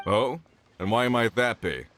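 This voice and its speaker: A man's voice: mid-range voice, lively intonation, unhurried, very noisy, lightly acted. A man voicing a hearty, shrewd middle-aged mining foreman in a video game, with a folksy, avuncular manner. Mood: curious.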